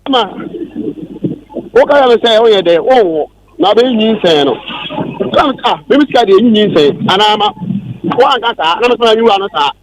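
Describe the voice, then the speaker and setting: A man with a Ghanaian accent argues as a caller on talk radio. His voice is high for a man and sounds very dry. He presses his point insistently, circling back over the same words. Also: expressive, brisk, long pauses, no room sound, rough recording. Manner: insistent, repetitive. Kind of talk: heated argument.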